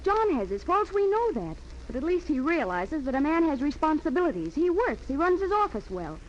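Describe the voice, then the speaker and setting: An actress playing a sharp-tongued, exasperated society fiancée in a 1940s radio drama. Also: female voice, sits high, sing-song, fairly quick. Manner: arch, scolding. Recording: dull, hissy 1940s radio recording.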